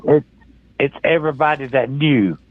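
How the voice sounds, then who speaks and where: A man's voice: mid-range voice, normal speed, no room sound. A man calling in to a talk show, speaking casually and colloquially, folksy and emphatic, often repeating himself, over a muffled phone line.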